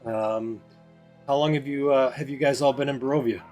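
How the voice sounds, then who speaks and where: A man's voice: mid-range voice, fairly even intonation, moderate pace, darkish tone, faint music behind, close to an everyday voice. A man voicing a tired, courteous male village leader in a tabletop game. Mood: weary, courteous.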